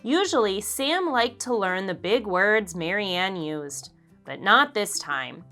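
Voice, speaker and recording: A woman reading a children's story aloud in an expressive, deliberate storytelling manner. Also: medium pitch, faint music behind. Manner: expressive, deliberate, acts out dialogue. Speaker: children's book narrator. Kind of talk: read-aloud storytelling for kids.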